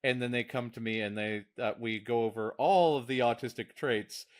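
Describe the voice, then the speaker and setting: A man, co-hosting a casual podcast as a diagnostician, often restarting his words and laughing easily. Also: moderate pace. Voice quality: deep voice.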